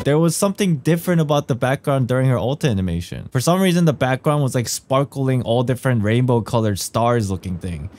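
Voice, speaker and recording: A man's voice: medium pitch, darkish tone. A young man giving casual live stream commentary and speculation as a game streamer, recorded on a studio mic in a room at home. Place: small furnished room at home. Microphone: large studio mic close by. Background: faint game music.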